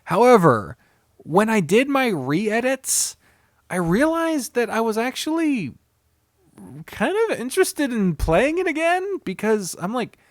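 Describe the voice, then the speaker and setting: A man's voice: very high-pitched, sing-song, a little slow, no echo, clean studio sound. A man giving a casual, unscripted video review as a streamer, rambling candidly and swearing freely. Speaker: visual novel streamer and reviewer.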